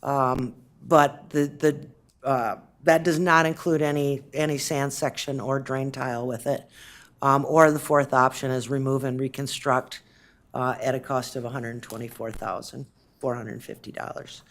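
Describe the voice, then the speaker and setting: A woman on city staff answering council questions at a meeting, speaking with frequent pauses and restarts. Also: rumbling low, fairly even intonation. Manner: hesitant, many fillers and restarts. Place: council chamber.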